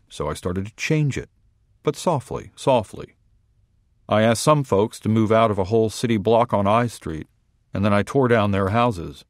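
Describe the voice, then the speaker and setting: A man reading a first-person short story aloud in a measured, deadpan manner. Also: lowish voice, no room sound, studio quality. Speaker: reader. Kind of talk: literary fiction reading.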